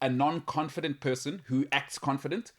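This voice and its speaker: A man's voice: on the high side, steady tone, normal speed, a bit bright. A man hosting a sports talk show, chatting casually and laughing easily. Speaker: sports radio host. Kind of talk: casual studio banter.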